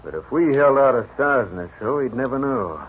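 A man's voice: mid-range voice, expressive, brisk, natural voice. A man playing a sly, scheming outlaw. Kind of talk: 1950s radio drama acting. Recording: muffled hissy old radio broadcast.

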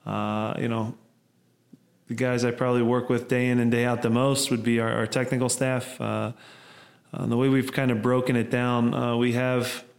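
A man's voice: medium pitch, little pitch movement, normal speed, creaky. A middle-aged man, a soccer head coach, answering interview questions at length, rambling with many fillers and restarts.

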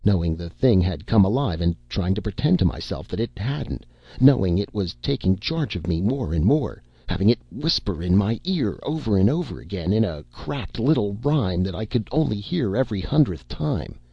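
A man voicing a terrified, frantic grown man whose voice strains and goes shrill as he pleads. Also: lively intonation, moderate pace, vocal fry, husky, dry recording, muffled recording, heavily acted. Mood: haunted. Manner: pleading, breaks into high frantic cries.

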